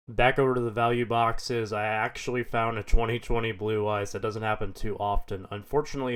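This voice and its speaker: A man with a head cold, slightly hoarse, narrating a vlog in a relaxed, matter-of-fact way. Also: on the low side. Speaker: card dealer vlogger.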